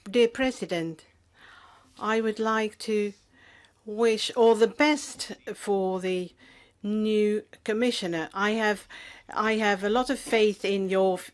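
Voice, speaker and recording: A woman interpreting a politician's questions, speaking in a measured way with occasional fillers and restarts. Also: mid-range voice.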